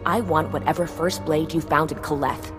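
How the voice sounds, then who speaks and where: A woman's voice: sits low, fairly even intonation, normal speed, over music, natural voice. A woman playing a hostile, demanding villager in a video game, curt and confrontational. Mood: threatening. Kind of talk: video game dialogue acting.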